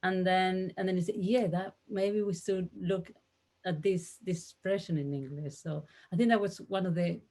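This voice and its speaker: A woman's voice: lowish voice, fairly even intonation, normal speed, darkish tone. A woman with a Spanish accent, a translator talking casually in a discussion and acting out reactions as she goes.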